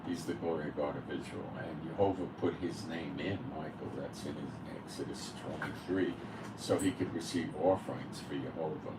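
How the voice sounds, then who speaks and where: A deep-voiced man teaches Bible prophecy in a blunt, informal way, and he lands hard on the verses he reads. He sounds set back from the microphone in a roomy space. A loud, steady background hum runs under his voice. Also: moderate pace, far from the mic. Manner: blunt, reads verses aloud emphatically then explains. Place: home in Israel.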